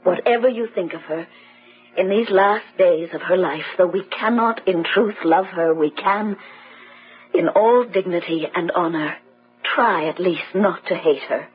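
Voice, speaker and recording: A woman playing a gentle but firm, proper sister-in-law in an old radio drama. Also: lowish voice, unhurried, slightly rough. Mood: soothing, firm. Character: composed, solicitous, restraining sister-in-law. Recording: dull vintage radio recording, music behind.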